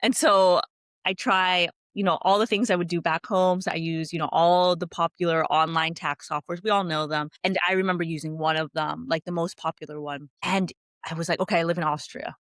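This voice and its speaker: A woman from California, a company founder, chatting in a video-call interview and telling her story in a lively, animated way. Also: on the low side, a little fast, no echo, studio quality.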